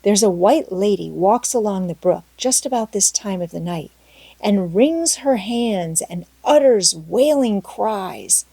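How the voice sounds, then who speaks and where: An excitable young girl from a storybook, acted by a woman, chattering with breathless drama and vivid imagination. Her voice leaps upward from a soft, mellow base. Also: medium pitch, a little slow, creaky, breathy edge, no echo, exaggerated voice. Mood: thrilled dread. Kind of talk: storybook character voice in audiobook.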